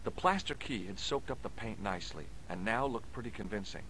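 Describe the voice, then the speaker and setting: Wisecracking American adventurer, dry jokes, lively pitch, game hero. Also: male voice, brisk, a little hiss or hum, rough recording. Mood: narrating. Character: cheeky young American man adventurer, wisecracking. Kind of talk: game voice acting and narration.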